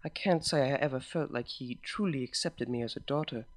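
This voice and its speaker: A man's voice: mid-range voice, brisk, no room sound, lightly acted. A man voicing an earnest, somewhat hesitant young mare pony in a storybook reading.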